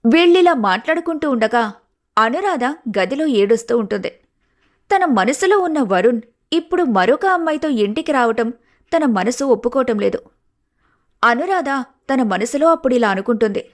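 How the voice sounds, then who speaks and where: A woman with a moderately high voice serves as narrator. She reads a scripted family story, linking the scenes between the characters' dialogue. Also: lively intonation, normal speed.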